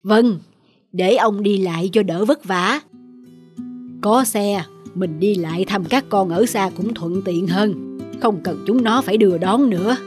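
A woman playing a kindly, thrifty older village wife, homely and caring, in an animated drama. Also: medium pitch, unhurried, lightly acted. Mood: contented. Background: music.

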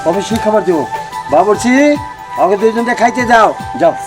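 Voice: medium pitch, brisk, lightly acted. An actor playing a greedy, scheming gang boss who bullies underlings and grovels when cornered, in an amateur short film. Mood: bossy. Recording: loud music over the dialogue.

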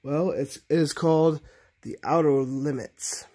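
A man voicing chatty plush toy characters for a reaction show, speaking in a halting, stammering way. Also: fairly high pitch, dark tone. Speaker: host of puppet reaction show. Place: bedroom.

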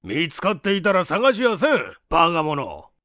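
A man voicing a crotchety old professor who scolds gruffly in old-fashioned elderly speech in an audiobook story. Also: fairly high pitch, huge pitch swings, moderate pace, pushed and bright, dry recording, clean studio sound, exaggerated voice. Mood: irritable, scolding.

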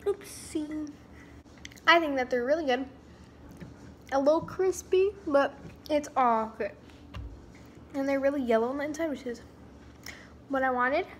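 A woman's voice: sits high, measured pace, long pauses, a little hiss or hum. A young woman chatting casually while baking in her home kitchen, with lots of "like" and "um" and jokes at her own expense. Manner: chatty, lots of "like" and "um", self-mocking. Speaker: vlogger. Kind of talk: casual baking vlog chat. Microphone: camera mic, from across the room at times. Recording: roomy kitchen sound from off the mic.

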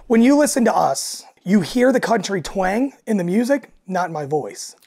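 Raspy man chatting on a podcast. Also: high voice, very animated, normal speed, darkish tone. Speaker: guest, alternative country singer and frontman. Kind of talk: casual podcast chat.

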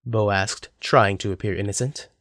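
A man's voice: fairly low pitch, fairly even intonation, normal speed, fairly bright tone, dry recording, clean studio sound. A man narrating a scripted story as the narrator of an audio drama.

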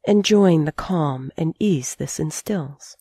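A woman with a low, mellow voice leads a guided sleep meditation. She sounds calm and soothing, placing her phrases in a measured way with long pauses. The recording is very dry and close, with no room sound. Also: breathy edge, no room sound. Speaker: meditation teacher.

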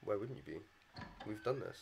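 A man's voice: on the low side, lively intonation, normal speed, vocal fry, a little mellow, slight background noise, rough recording. A young man co-hosting casual, bantering game commentary. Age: young adult. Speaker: gaming video co-host.

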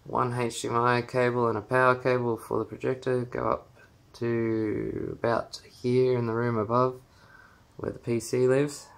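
A man gives a casual, halting walkthrough of his small basement home theater, filming on a handheld phone as he walks. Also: medium pitch, level tone, vocal fry. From Australia. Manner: unhurried, halting with many fillers. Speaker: home theater owner giving a room tour. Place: small basement room, indoors. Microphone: handheld phone camera, filming while walking.

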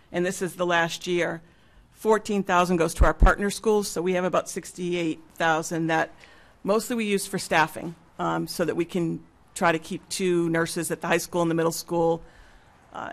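A woman giving an informal spoken report at a public school meeting, hesitant and pausing mid-sentence. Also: lowish voice, creaky. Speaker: school nursing leader presenting a report. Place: mid-sized meeting room with seated public. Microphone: gooseneck table microphone.

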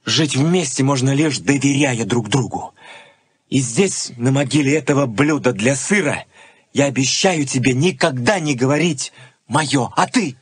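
An actor playing an earnest, stubborn young fiancé, speaking in intense short phrases in a radio play. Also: male voice, mid-range voice, animated, measured pace, husky, dry recording, studio quality. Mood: solemn. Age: young adult. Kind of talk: Soviet radio play acting. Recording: noisy 1980s radio play recording.

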